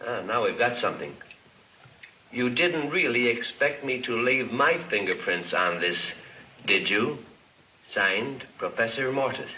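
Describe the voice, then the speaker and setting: An actor playing a businesslike investigator in a 1940s film crime serial. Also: male voice, medium pitch, animated, measured pace, sharp tone, a little hiss or hum. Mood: intent. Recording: echoey 1940s film soundtrack, music behind.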